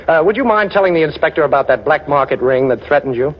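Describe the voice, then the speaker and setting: An actor playing a cocky, wisecracking American amateur sleuth in a 1940s radio drama, glib and persuasive. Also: male voice, on the high side, animated, fast talker, lightly acted. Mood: friendly, confused. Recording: dull, noisy 1940s radio recording.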